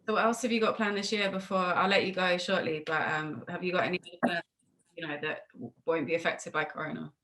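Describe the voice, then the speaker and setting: A woman with a British accent speaks in a fairly low register. She hosts a relaxed interview in a chatty, informal way, encouraging her guest as she asks questions. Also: fast talker. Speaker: interviewer and host. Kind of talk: casual interview chat. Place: remote video call.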